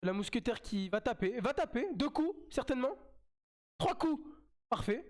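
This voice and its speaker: A man's voice: very high voice, very animated, normal speed. A man with a French accent and a slight cold, commentating his own mobile game live as a streamer, chatty and repeating himself as he plays.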